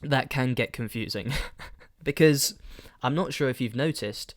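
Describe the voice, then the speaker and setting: A man's voice: medium pitch, unhurried. A young man from London with a southern British London accent, hosting a casual solo podcast in a rambling, self-correcting, chatty way. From London, England. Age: young adult. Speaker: podcast host.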